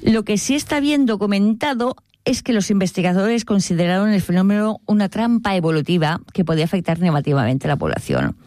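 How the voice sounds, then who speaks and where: A woman hosting a podcast, telling an animated, comic story and acting out quotes. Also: medium pitch, brisk, no echo, clean studio sound. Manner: animated, acts out quotes in funny voices. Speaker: podcast host.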